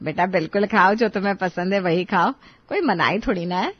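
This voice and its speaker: A woman's voice: medium pitch, lively intonation, a little fast, no echo, muffled recording, natural voice. A woman playing a middle-aged, bossy yet affectionate mother-in-law in a dramatized story, instructing in a homely, motherly way. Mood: coaxing.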